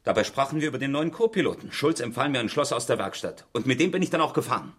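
A man's voice: mid-range voice, big pitch swings, fast talker, a bit bright, husky. A man acting an indignant, evasive engineer under police questioning in a 1970s East German radio crime drama, defensive in manner. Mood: guarded. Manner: protesting, defensive. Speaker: actor.

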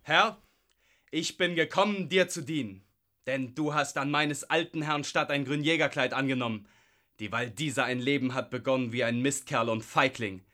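An actor playing a sly, eager servant in a radio play, offering himself with ingratiating words. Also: male voice, medium pitch, moderate pace, sharp tone, lightly acted. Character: eager servile soldier's servant, sly and scornful.

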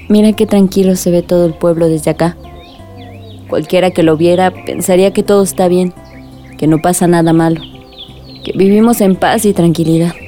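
A woman's voice: lowish voice, a little slow, pauses often, darkish tone, faint music behind, close to an everyday voice. An actress playing a troubled, caring young village woman in a radio drama, confiding and weary.

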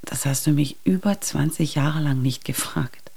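Weary ageing mother, low creaky voice. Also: unhurried, mellow, exaggerated voice. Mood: wistful. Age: middle-aged or older. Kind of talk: German radio drama acting.

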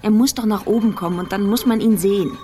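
An actress playing a weary, exasperated police investigator in a radio drama, speaking in terse, clipped sentences. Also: female voice, mid-range voice, fast, mellow, faint music behind, lightly acted. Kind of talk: radio drama monologue and dialogue.

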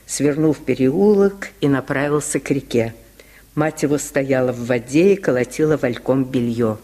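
A man narrating a literary tale in a measured, expressive way, on a 1950s radio recording. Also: fairly high pitch, long reverb tail. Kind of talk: scripted literary storytelling. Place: radio studio.

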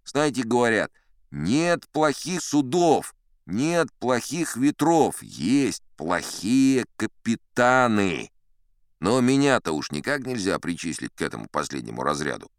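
An actor playing a boastful old sea captain, spinning tall tales in a self-satisfied, chatty storybook narration. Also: male voice, medium pitch, very animated, measured pace, dry recording, studio quality. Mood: animated boasting. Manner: self-satisfied, conversational raconteur. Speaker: audiobook narrator in character. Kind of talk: theatrical first-person storybook narration.

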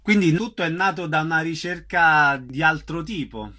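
A man's voice: a little high, normal speed, sharp tone, slight background noise. Male radio theatre host. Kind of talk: scripted announcements and interview questions.

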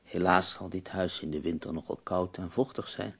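An ailing poet for a radio drama, with a very deep, creaking voice. He sounds melancholy and weary yet dryly wry, with a husky, breathy edge that can sink toward a whisper. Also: fairly even intonation, moderate pace. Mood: wistful. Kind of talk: radio play acting.

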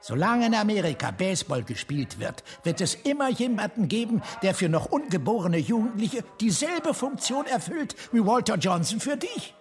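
An eccentric, opinionated man expounding grand theories in a radio drama. His voice is on the high side and very animated, argumentative and articulate. Music plays behind him. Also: moderate pace, hardly any pauses. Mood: lecturing, insistent. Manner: lecturing, insistent, argumentative, articulate. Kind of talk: German radio drama acting.

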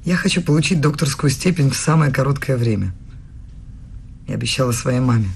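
A woman acting in a radio play as an eighteen-year-old philosophy student, eager, nervous and deferential, with a husky voice. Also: a bass voice, expressive, fairly quick, darkish tone, slight background noise, exaggerated voice. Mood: earnest, admiring. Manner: earnest, flustered, deferential.

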